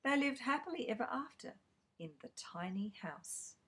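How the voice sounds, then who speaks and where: A woman reading a children's fairy tale aloud, slow and expressive. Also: on the high side, breathy edge. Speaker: storyteller.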